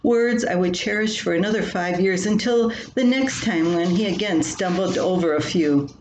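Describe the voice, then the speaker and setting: A woman's voice: on the low side, moderate pace, a little mellow. Older lady telling a funny personal story, wry n a bit dramatic, roomy echo, some noise behind her. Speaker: columnist reading own humorous column. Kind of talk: personal humorous storytelling, read aloud.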